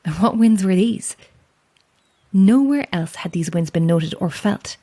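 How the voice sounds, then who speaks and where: A woman playing a solitary, calm lighthouse keeper with an Irish accent in a horror audio drama, speaking in a measured, understated way. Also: on the low side. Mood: hushed, ominous. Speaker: lighthouse keeper and narrator of a tale.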